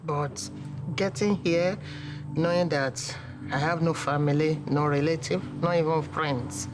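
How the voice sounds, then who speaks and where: A middle-aged woman with a Nigerian accent telling her personal story in an interview. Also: deep voice. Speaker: interviewee, mother of an athlete.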